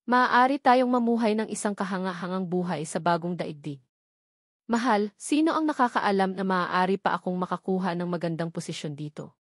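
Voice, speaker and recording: Woman voicing smooth-talking husband in an audiobook, boastful and flattering, dry sound. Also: mid-range voice, normal speed, no echo, lightly acted. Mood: boastful, affectionate. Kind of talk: audiobook dialogue.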